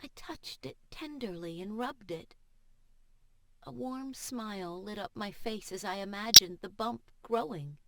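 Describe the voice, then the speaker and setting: A woman audiobook narrator reading first-person fiction in an expressive, emotive and deliberate way. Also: medium pitch, no room sound, low-quality recording. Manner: emotive, measured, deliberate delivery. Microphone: studio voice-over microphone.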